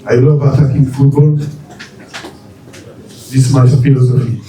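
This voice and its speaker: A middle-aged man with a French accent, a new football coach speaking at a press unveiling in halting English, restarting words and using short plain sentences. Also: mid-range voice, fairly even intonation, warm tone, slight background noise. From France and Mali. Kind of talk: formal speech at a press unveiling. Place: press conference hall. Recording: echoey room, far from the mic.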